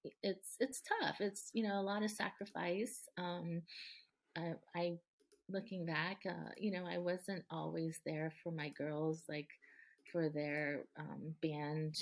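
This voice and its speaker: A middle-aged woman with a somewhat low voice talks in a relaxed interview. She is earnest and thoughtful, pausing on ums and restarting her sentences, with little laughs slipped in. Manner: earnest and warm, many ums and restarts, frequent light laughs. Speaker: guest, local politician.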